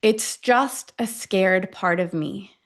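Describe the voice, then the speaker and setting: A woman leading a guided affirmation meditation, speaking deliberately in short phrases with long pauses between them. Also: mid-range voice. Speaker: guide of a self-affirmation tapping session.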